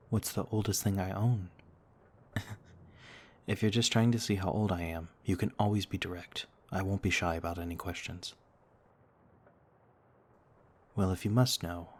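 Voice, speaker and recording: Husky, very low-voiced noble vampire played by a man. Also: a little mellow. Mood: patient, candid. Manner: courtly, formal, soothing, reassuring. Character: gentle noble male vampire, over a thousand years old, looks thirty-two. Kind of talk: ASMR roleplay.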